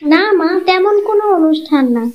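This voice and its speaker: A woman's voice: very high voice, fairly quick, dull audio. A woman voicing a selfish young village wife with a coaxing manner in a cartoon. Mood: polite. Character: selfish, greedy young village wife, sweet-talking but secretly resentful. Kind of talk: cartoon moral-story voice acting.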